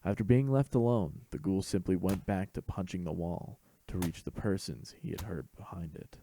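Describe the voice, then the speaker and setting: A man's voice: low-pitched, normal speed, gravelly, warm tone, slight background noise. A man reading a scripted fan fiction story as host and narrator.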